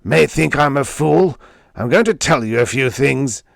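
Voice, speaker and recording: A man's voice: mid-range voice, animated, a little slow, creaky, no room sound, studio quality, voice pushed up. A man reading as a hateful, vehement bully. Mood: ranting anger. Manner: grating vehemence, abusive ranting. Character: towering, hateful, domineering man, jealous bully.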